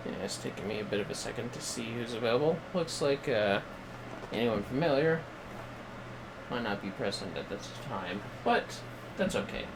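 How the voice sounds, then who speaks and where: A man hosting a game livestream, chatting casually and haltingly as he muses to himself. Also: medium pitch, animated, background noise. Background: music.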